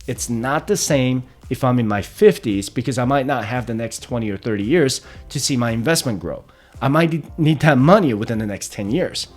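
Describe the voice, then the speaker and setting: A man in his thirties talks through the figures in a steady explainer tone. His pitch sits right in the ordinary middle range for a man. Soft music plays faintly underneath. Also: moderate pace. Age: 30s. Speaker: personal finance channel host. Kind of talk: explainer walking through retirement numbers.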